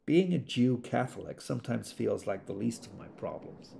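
An actor playing an earnest, exasperated government geologist who explains things conversationally in an audio drama monologue. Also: male voice, mid-range voice, very animated, moderate pace, creaky, mellow, slight background noise.

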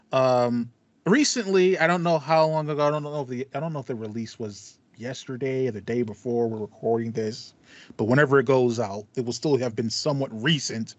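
A man's voice: medium pitch, no room sound, muffled recording. A man in his thirties co-hosting a casual gaming podcast, often repeating words as he thinks aloud. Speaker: podcast co-host. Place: small room with acoustic foam.

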